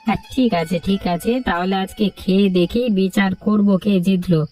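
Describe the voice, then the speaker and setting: A woman's voice: medium pitch, a little fast, warm tone, recorded from a distance, faint music behind, close to an everyday voice. A woman voicing a friendly, agreeable villager in a cartoon story. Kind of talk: Bengali cartoon story dialogue.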